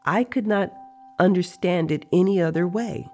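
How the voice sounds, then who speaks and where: A narrator telling a dramatized story in a measured, deliberate way with pauses. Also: mid-range voice, dark tone. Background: music.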